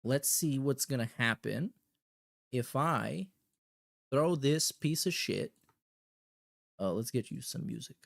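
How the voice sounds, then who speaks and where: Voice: mid-range voice, animated, slow, creaky, long pauses. A livestream host chatting casually and reacting in a blunt, heavily profane way.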